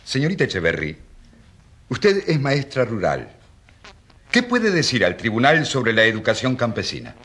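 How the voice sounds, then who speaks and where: An actor playing a rural priest on trial in a courtroom radio drama, questioning insistently and defending peasants with indignant irony. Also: male voice, mid-range voice, huge pitch swings, moderate pace, long pauses, fairly bright tone. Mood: insistent, ironic.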